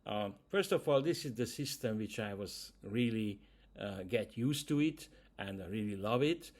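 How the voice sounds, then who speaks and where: A man's voice: on the low side, animated, moderate pace. A man from Hungary with a Hungarian accent, speaking English as a second language, talking casually to camera as host, with frequent hesitations. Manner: hesitant, many "uh" fillers, non-native grammar. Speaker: YouTube channel host.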